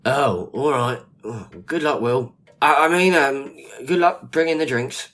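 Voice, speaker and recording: A man's voice: mid-range voice, unhurried, a bit bright, natural voice. An actor playing a friendly, awkward, plain-spoken man in an audio drama. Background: music.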